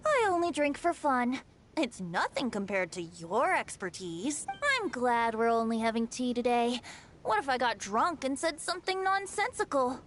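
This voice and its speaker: A woman's voice: fairly high pitch, sing-song, normal speed, slight background noise. A woman voicing a playful, youthful, self-effacing wanderer in an anime game, teasing and polite. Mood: modest.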